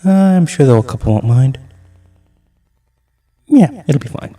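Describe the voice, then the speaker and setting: A man's voice: medium pitch, animated, measured pace, dark tone, far from the mic. A man playing a fussy, defensive, easily exasperated mage in a comic anime parody. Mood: eager, self-reassuring.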